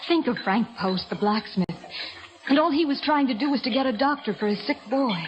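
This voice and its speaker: A woman's voice: sits high, animated, brisk, dull audio. An actress playing a bold, determined young colonial woman with earnest, theatrical delivery in an old-time radio drama. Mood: pleading.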